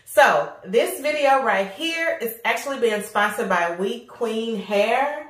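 A woman's voice: fairly high pitch, lively intonation, slow pace, a bit bright, recorded from a distance. A woman in her thirties with a nasal voice from allergies, chatting casually to viewers while unboxing and reviewing a product at home. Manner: chatty, addresses viewers as girl and honey. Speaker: beauty vlogger. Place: small room at home, indoors. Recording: roomy echo, voice off-mic.

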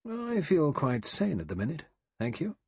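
A man voicing a cool, self-possessed, terse gentleman in an audiobook mystery. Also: on the high side, wildly swooping pitch, moderate pace, breathy edge, no room sound, pitched above the performer's own voice, full character voice. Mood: cool, questioning.

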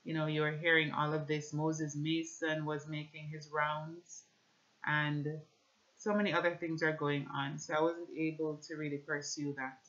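A woman in her thirties with a Jamaican accent gives an earnest, measured religious testimony at home, talking to camera. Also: low voice, flat delivery, fairly bright tone, highs cut off. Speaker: speaker giving a personal religious testimony. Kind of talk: personal testimony with scripture reading, talking to camera. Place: small indoor room at home with shelves.

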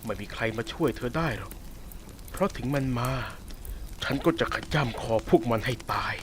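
A man voicing a sadistic, possessive werewolf who taunts a captive listener in a roleplay audio drama. He speaks softly and teasingly, with a menacing edge. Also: medium pitch, expressive, moderate pace, slight background noise, lightly acted. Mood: taunting.

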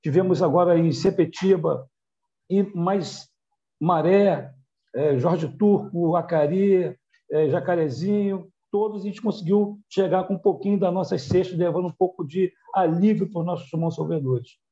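A man's voice: high-pitched, normal speed, warm tone. A middle-aged man with a Rio de Janeiro accent, a community leader giving a hesitant thank-you speech over a video call. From Rio de Janeiro, Brazil. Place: small room on a video call. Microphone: wired earphone mic. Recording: dull, lo-fi video call audio.

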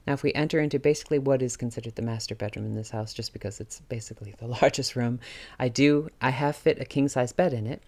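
Chatty woman with a mellow voice. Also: extremely low pitch, fast talker. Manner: chatty, meandering, with small laughs and restarts. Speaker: homeowner giving a house tour. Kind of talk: casual narrated walk-through. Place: old wooden cottage, indoors.

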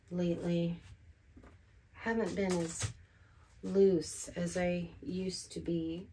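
Slow, low-voiced woman vlogging casually. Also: breathy edge. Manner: relaxed, rambling self-talk. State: groggy morning voice. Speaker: artist vlogger. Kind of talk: casual studio vlog. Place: small home art studio, indoors.

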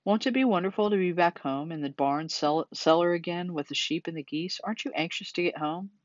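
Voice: medium pitch, fairly quick, dry recording, dull audio, natural voice. A reader voicing an earnest, emotional young male pig from a children's storybook in a pleading, dramatic way. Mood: sincere. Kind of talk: children's storybook character reading.

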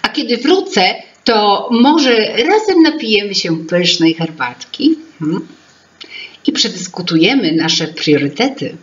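A woman's voice: mid-range voice, big pitch swings, a little slow, lo-fi sound. A woman voicing a proud, bustling female fairy-tale sprite who keeps people's memories. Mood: brisk, teasing. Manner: self-important, bustling, musing.

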